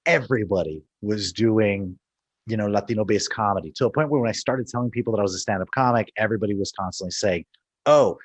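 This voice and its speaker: Animated Texan man telling stories on a call. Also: fairly low pitch, muffled recording. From El Paso, Texas. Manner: animated storyteller, slips into Spanish phrases. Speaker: stand-up comedian, guest. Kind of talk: casual chat over video call. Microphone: video call.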